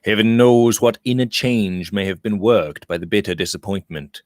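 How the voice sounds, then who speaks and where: A man's voice: on the low side, normal speed, darkish tone, dry recording, studio quality. A man reading a literary novel aloud as scripted audiobook narration, with dramatic phrasing. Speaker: audiobook reader.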